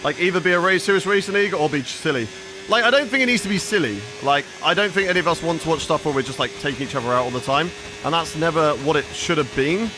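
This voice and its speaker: A man's voice: a little high, expressive, fast talker, background noise. A young man streaming a racing game, chatting with his viewers and answering their questions as he plays. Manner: chatty, reads and answers chat while playing. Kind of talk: live gaming stream chat.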